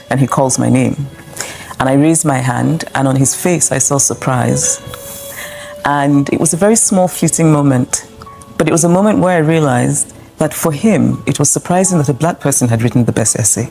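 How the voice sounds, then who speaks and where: A woman from Nigeria telling a story in a measured, reflective way. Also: very deep voice, creaky, warm tone, faint music behind. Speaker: guest speaker. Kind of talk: reflective interview talk.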